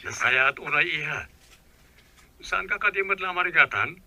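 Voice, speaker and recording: A man's voice: on the high side, animated, moderate pace, sharp tone, no room sound, full character voice. A man playing a caring middle-aged father in an Ilocano radio drama, with an Ilocano accent. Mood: tender.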